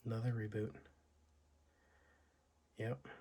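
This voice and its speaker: A man talking through an old laptop's setup, his voice hoarse from illness. He thinks aloud with halting pauses and fillers, in a casual vlog manner. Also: fairly low pitch, flat delivery, dark tone, a little hiss or hum. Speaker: tech video host. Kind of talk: casual unboxing walkthrough.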